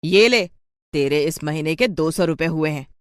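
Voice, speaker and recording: Woman playing a loud, scolding rich mistress. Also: deep voice, animated, normal speed, no room sound, clean studio sound. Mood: scornful. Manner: scolding, curt. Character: harsh, impatient rich lady employer of a maid.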